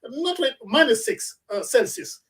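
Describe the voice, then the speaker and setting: A woman hosting a radio talk program, giving formal introductions and moderating a panel in a measured way with frequent restarts. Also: high-pitched, expressive, far from the mic. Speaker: radio talk show host. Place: in Toronto.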